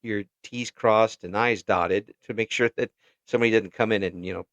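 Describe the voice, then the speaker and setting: A man chatting casually as an interviewer, halting and given to chuckling as he talks. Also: lowish voice, fairly even intonation, a bit bright, no room sound, muffled recording.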